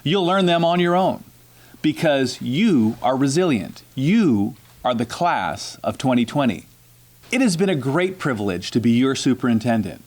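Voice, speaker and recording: A man's voice: medium pitch, animated, moderate pace. A man serving as superintendent, giving graduates advice and closing wishes. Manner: advising, warm closing wishes. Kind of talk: graduation address.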